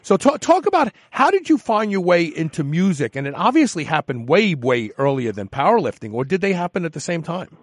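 A man from New York hosting a radio interview, asking halting questions full of restarts. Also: on the high side, very animated, no room sound, clean studio sound. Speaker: radio host. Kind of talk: casual interview.

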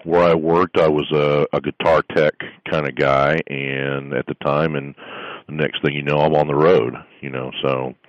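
A middle-aged man from South Florida with a very deep bass voice, telling stories in a casual interview with lots of fillers and restarts. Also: level tone, moderate pace, dry recording, highs cut off. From South Florida, USA. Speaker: guest, strength coach and musician.

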